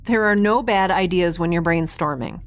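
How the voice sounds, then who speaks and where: A woman's voice: lowish voice, normal speed, dry recording, close to an everyday voice. A woman playing a stubborn, quirky young space traveler in an audio drama, chatty and emphatic with a wry edge. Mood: rambling, determined.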